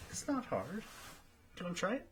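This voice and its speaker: A man's voice: high voice, huge pitch swings, moderate pace, slight background noise. A man playing a friendly young friend in an ASMR roleplay, patiently tutoring and thinking aloud in a hesitant way. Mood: encouraging, explaining. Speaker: study partner.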